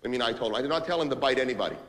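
A man's voice: mid-range voice, very fast, fairly bright tone, natural voice. An actor playing an abrasive, self-important New businessman, ranting impatiently in a theatrical comic monologue of phone calls. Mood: exasperated, indignant. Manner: impatient, ranting, barking orders and repeating himself. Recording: reverberant hall.

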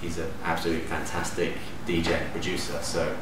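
A man in his thirties from Denmark, a DJ and producer, answering interview questions to camera in a relaxed, enthusiastic way with lively hand gestures. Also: sits low, fast. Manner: relaxed, enthusiastic, gestures with hands while talking. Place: living room at home, indoors. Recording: echoey room, distant mic, faint hum.

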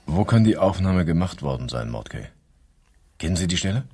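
Very deep, husky police inspector. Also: male voice, sing-song, normal speed, mellow, full character voice. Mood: questioning. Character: businesslike, questioning male police inspector. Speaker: actor playing a police inspector. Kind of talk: German crime radio play.

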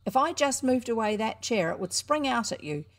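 A woman voicing a cocky young schoolboy showman, loud and indignant as he hawks his show. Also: fairly high pitch, expressive, normal speed, pitched above the performer's own voice. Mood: boastful.

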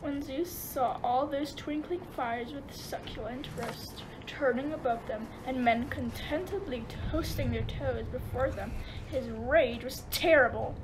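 A woman's voice: sits high, unhurried, noisy background. A woman narrating a myth as scripted storytelling for an amateur radio play.

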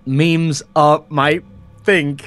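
A man's voice: on the high side, fairly even intonation, very slow, fairly bright tone, faint music behind. A man playing along in an improvised game roleplay with casual chat. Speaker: roleplay video player.

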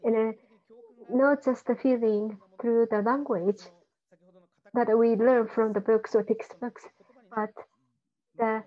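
A woman interpreting on a video call, her voice pitched a little high and carried through muffled, dull call audio. She speaks slowly and haltingly, filling gaps with fillers and restarts as she relays each phrase. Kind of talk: simultaneous interpretation on an online call.

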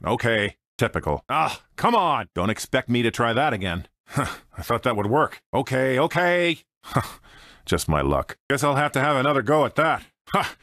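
A man's voice: medium pitch, sing-song, normal speed, a bit bright, no echo. An American man playing a cocky, wisecracking space smuggler and pilot in a video game, with a dry, sardonic, swaggering manner. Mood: smug, wry. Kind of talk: video game character voice clips. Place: dry studio recording.

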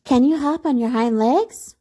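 A woman's voice: high-pitched, a little slow, mellow, voice pushed up. A woman voicing a brash young male wild rabbit in a storybook, with a blunt, teasing manner. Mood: playful, teasing. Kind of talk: storybook character voice.

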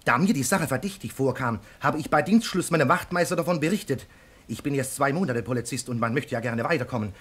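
A man's voice: medium pitch, fast talker, fairly bright tone, husky. An actor playing an earnest young rookie policeman giving a dutiful, precise report in a radio crime drama.

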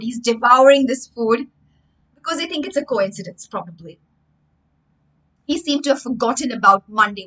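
Expressive Indian English woman storyteller. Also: a little high, poor audio. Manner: expressive, animated hand gestures, explains words as she goes. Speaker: storytelling teacher. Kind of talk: reading a story aloud and explaining it to children. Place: room with bookshelves.

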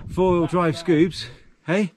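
A man's voice: high-pitched, fairly even intonation, slow, darkish tone, a little hiss or hum. A middle-aged man, chatting casually to camera and his dog while hiking a mountain ridge outdoors. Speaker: hiking vlogger. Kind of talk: casual outdoor vlog commentary. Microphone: action camera on a pole.

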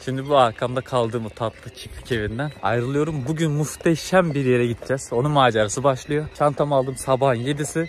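Quick-talking young man vlogging. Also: mid-range voice. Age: young adult. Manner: enthusiastic, slangy. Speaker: travel vlogger. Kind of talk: casual travel vlog chat to camera. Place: outdoors in villages and inside trains. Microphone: phone at arm's length.

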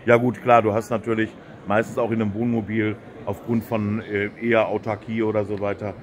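A man's voice: on the low side, little pitch movement, fairly quick. A man hosting a casual interview at a trade fair, chatty and friendly with lots of agreeing. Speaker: host. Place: exhibition hall.

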